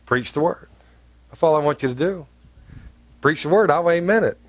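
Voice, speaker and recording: A man's voice: normal speed, no room sound. A man from North Carolina preaching a sermon in a church, blunt and humorous, with chatty asides to the congregation. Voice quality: deep, carrying voice. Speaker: pastor. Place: church sanctuary.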